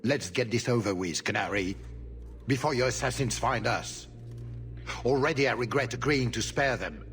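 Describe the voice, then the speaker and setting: A man's voice: mid-range voice, normal speed, fairly bright tone, close to an everyday voice. A man playing a haughty, impatient duke, a scheming villain in a fantasy video game, curt in manner. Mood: impatient, disdainful. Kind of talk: game cutscene acting. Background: faint music.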